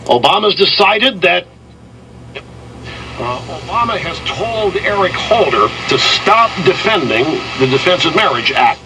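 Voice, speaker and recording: A man with a fairly high voice delivers political talk commentary. It comes out of an AM radio with faint noise. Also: very animated, measured pace.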